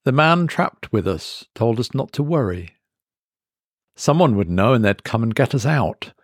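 A man reading a first-person horror story as a trapped man in dazed, creeping dread, speaking in halting, brooding phrases. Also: medium pitch, lively intonation, no echo, studio quality. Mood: brooding recollection.

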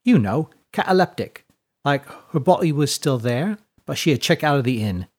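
A man voicing a perky, blunt orphan girl in a storybook, irreverent and slangy with rough grammar. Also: mid-range voice, very animated, normal speed, darkish tone, voice pushed up. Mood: blunt, offhand.

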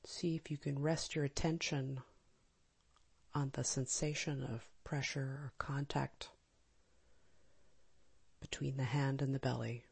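A woman leading a guided meditation in a calm, gentle, soft and breathy manner, with long pauses. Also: rumbling low. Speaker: meditation teacher. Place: live small group session.

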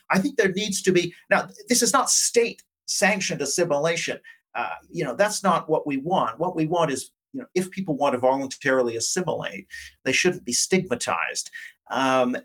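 A man's voice: a little high, fairly bright tone. A male academic guest giving conversational, analytical interview answers, qualifying his points as he goes. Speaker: academic guest, politics professor.

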